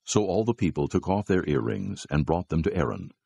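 A man narrates scripted scripture. His voice is low and gravelly, with animated rises and falls. It is recorded very dry and close. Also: a little fast, dry recording, muffled recording.

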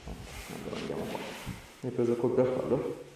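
A man's voice: mid-range voice, animated, fast, vocal fry, dark tone. A man in his thirties, a chiropractor, giving a patient short, reassuring instructions during treatment. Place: small treatment room, indoors. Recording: very roomy, distant mic, faint noise.

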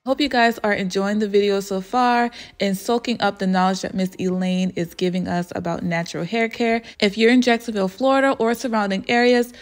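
A woman's voice: medium pitch, steady tone, fairly quick, hardly any pauses. Upbeat young woman vlogger. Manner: upbeat, promotional. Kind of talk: vlog voice-over.

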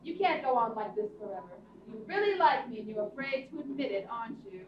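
Lady doing a spoiled flirty socialite, teasing, high voice, echoey far off stage. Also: expressive, fast, natural voice. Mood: teasing, insistent. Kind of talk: radio theatre acting. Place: theatre stage before an audience. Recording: distant echoey stage, dull, faint noise.

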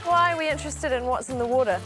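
A woman's voice: high-pitched, fast, a bit bright, faint music behind. A woman in her thirties with a New Zealand accent, presenting a nature TV segment in an upbeat, chatty way from outdoors beside a stream. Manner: upbeat, chatty, plain-spoken.

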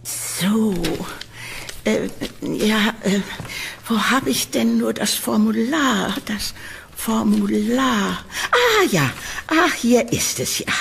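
Creepy sweet old landlady, audio drama, halting and doting, really swoopy pitch, some noise behind. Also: expressive, vocal fry, a bit bright, heavily acted. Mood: fussing, delighted. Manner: theatrical, halting, doting, with nervous chuckles. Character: eccentric old landlady, sweetly eerie and gushing. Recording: reverberant room, background noise.

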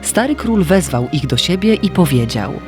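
A man narrating a scripted fairy tale as an expressive, literary storyteller. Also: on the high side, moderate pace. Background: faint music.

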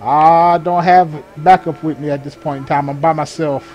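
Higher-voiced man calling out police role-play. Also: steady tone, moderate pace, lo-fi sound, soft background music. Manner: clipped procedural radio jargon mixed with muttered asides. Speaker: gamer playing a sheriff's deputy in a police patrol role-play. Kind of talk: improvised police radio call-outs and casual gameplay commentary. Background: game vehicle and ambient sounds.

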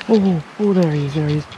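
A young man talks in a slightly higher register, exclaiming and repeating his words as he fishes. He is heard outdoors on a creek bank. The action-camera audio has clear background noise. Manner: excitable, repeats himself, exclaims loudly. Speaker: fishing companion. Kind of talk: excited casual chat.

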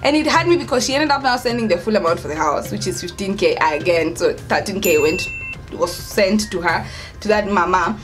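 A young adult woman with a Kenyan accent, telling a story in casual vlog style, expressive and emphatic, repeating words for stress. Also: mid-range voice, a little fast, music in the background. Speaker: vlogger, storyteller. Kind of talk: casual storytime chat to camera.

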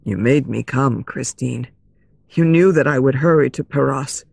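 A woman's voice: extremely low pitch, unhurried, vocal fry, a little mellow, breathy edge, dry recording, voice pushed down, heavily acted. A woman playing an earnest, lovesick young nobleman, wounded and insistent, in an audiobook story. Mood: hurt, angry.

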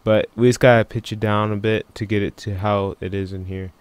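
A man giving a casual software tutorial in an offhand, unscripted way, thinking aloud as he works. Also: lowish voice, steady tone, brisk, clear, resonant tone, dry recording. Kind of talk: casual screen-recording tutorial.

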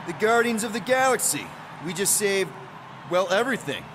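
A man's voice: sits high, animated, moderate pace, noisy background. A man playing a cocky, easygoing space adventurer in a video game, with a jokey, self-congratulatory manner. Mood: proud, elated. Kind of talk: video game character acting.

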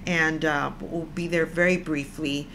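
A woman's voice: deep voice, fairly even intonation, unhurried, a bit bright, slight background noise. A woman in her fifties co-hosting a casual vlog, chatty and opinionated, often laughing, seated on a sofa indoors. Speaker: vlog co-host. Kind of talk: casual chat. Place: indoors, living room with orange sofa.

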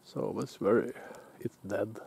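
Norwegian guy rambling by the lake, husky dark voice, stops and restarts a lot. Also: on the low side. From Norway. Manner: relaxed, musing, halting with restarts. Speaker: outdoor vlogger. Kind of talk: casual self-filmed commentary. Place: lakeside outdoors, off camera.

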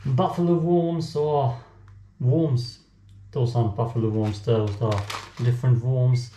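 A man's voice: medium pitch, steady tone, slow, warm tone. A young man with a German accent, joking to himself as he cooks in a small home kitchen for his vlog. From German-speaking country. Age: young adult. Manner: joking, self-talk while working. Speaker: cooking vlogger. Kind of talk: casual cooking vlog. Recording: echoey kitchen, faint music.

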